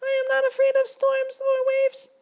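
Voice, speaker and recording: Storybook bit: a stubborn, self-important little mosquito skipper, squeaky and shrill. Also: completely flat delivery, moderate pace, darkish tone. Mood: pompous. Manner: stubborn, pompous. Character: bossy tiny male mosquito ferryman.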